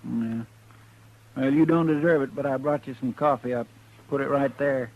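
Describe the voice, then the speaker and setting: An actor playing a loyal, folksy marshal's helper with a deferential rural drawl in an old radio western. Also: male voice, mid-range voice, normal speed, lo-fi sound, natural voice. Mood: grudgingly kind. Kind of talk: 1950s radio drama acting. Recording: thin, band-limited old radio with music.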